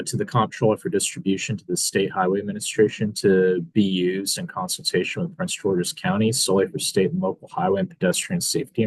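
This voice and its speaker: A man on committee staff reading bill amendments in a measured, precise way. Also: sits low, dry recording, poor audio. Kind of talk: reading legislative text.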